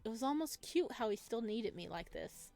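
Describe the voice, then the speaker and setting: A woman's voice: on the high side, lively intonation, normal speed, vocal fry, darkish tone. A woman hosting a visual novel playthrough, reading the story and commenting casually. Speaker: game playthrough host. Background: game music.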